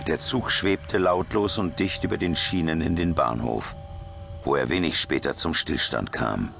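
A man playing a grave adventurer who recounts a dangerous mission in a measured, deliberate way, in a sci-fi audio drama. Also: gravelly, a bit bright, full character voice. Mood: tense, alarmed. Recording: music and vehicle rumble behind.

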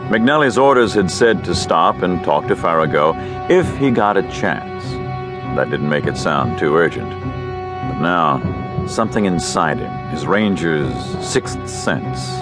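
Male narrator for a western audio drama: deep, measured, prominent guitar underscore. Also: sing-song. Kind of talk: scripted western story narration. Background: guitar music.

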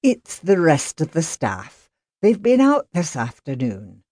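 A composed, sensible housekeeper , as a woman narrator reads her speaks with quiet politeness. Her voice is low and mellow, with lively lilt. The sound is dry and close. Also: slow, no echo, full character voice. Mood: calm. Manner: calm and polite.

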